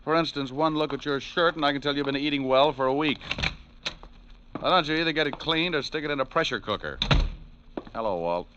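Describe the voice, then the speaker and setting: A man's voice: on the high side, a little fast, pauses often, noisy background, lightly acted. A man with an American accent acting a wisecracking, sardonic hardboiled private detective in an old-time radio drama. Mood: teasing. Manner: glib, sardonic. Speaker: lead actor and narrator. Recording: dull vintage radio recording, music behind.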